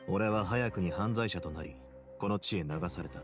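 A male actor playing a composed, confident prison boss in a Japanese game, speaking in a measured, dryly courteous way. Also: low-pitched, lightly acted. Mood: calm, reflective. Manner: measured, cool, casually self-assured. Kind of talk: Japanese anime game voice acting. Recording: studio voice over game music.

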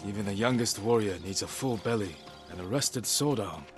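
An actor playing an earnest, composed young samurai lord in a video game, speaking in a calm, measured, solemn way. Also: male voice, on the low side, music in the background, lightly acted. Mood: concerned. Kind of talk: dramatic video game acting.

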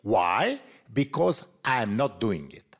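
Slow, lively Croatian-accented man tutoring. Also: on the low side, slightly rough. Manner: slow, deliberate, non-native phrasing with fillers. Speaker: software trainer. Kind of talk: screen-recorded tutorial.

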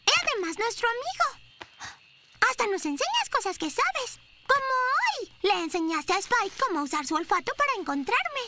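A woman's voice: extremely high pitch, very animated, moderate pace, very noisy. A woman voicing a sweet, caring little girl dinosaur in a children's cartoon, childlike and repeating words for emphasis. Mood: concerned, reassuring. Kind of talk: Spanish-dubbed children's cartoon acting.

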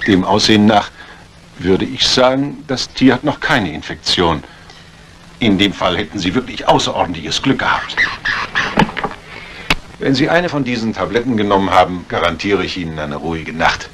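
Casting: a courteous but faintly sinister physician, low and suave, in a slightly noisy old thriller. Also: male voice, expressive, fairly bright tone. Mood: reassuring. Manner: measured, polite, suave. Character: urbane, subtly ominous country doctor. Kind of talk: 1950s German dubbed thriller acting. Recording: faintly noisy vintage soundtrack.

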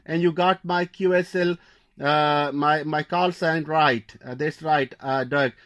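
A man's voice: on the high side, fairly even intonation, unhurried, a bit bright. A man working as an amateur radio operator in a contact exchange, repeating phrases and call signs. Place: indoor radio desk.

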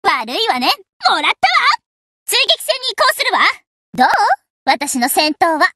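A woman's voice: extremely high pitch, very animated, moderate pace, pushed and bright, a little hiss or hum, heavily acted. A woman voicing a spirited, confident teenage destroyer girl in an anime game, perky, assertive and a little bossy. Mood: determined, bold. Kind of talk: Japanese anime game voice acting.

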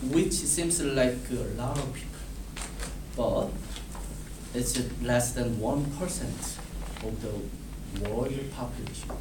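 A man in his thirties with a Korean accent, a native Korean speaker reading a prepared class speech, halting and restarting words. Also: medium pitch. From South Korea. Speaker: student giving a speech. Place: classroom in front of a whiteboard. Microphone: far camera. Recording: roomy, distant, noisy classroom audio.

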